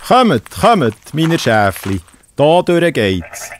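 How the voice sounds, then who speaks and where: An actor playing a humble, fearful shepherd in a children's audio drama, exclaiming in astonishment. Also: male voice, on the high side, very animated, very slow, a little hiss or hum, heavily acted. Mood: gentle urging.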